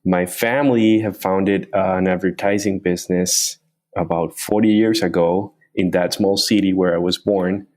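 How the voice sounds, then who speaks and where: Low-voiced man. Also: darkish tone. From Colombia. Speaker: guest, company founder. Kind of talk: interview answers.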